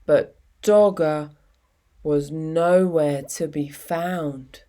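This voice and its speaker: A woman reading a children's picture book aloud in an expressive, deliberate storytelling manner with dramatic pauses. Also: deep voice. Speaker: storytime reader.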